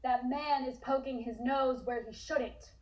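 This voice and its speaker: Impatient, bitter cultist's boy, played by a woman, highish voice, a little away from the microphone. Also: fairly even intonation, measured pace. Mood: resentful, impatient. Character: resentful, impatient young son of a cultist. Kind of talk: amateur audio drama table read. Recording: distant home microphone.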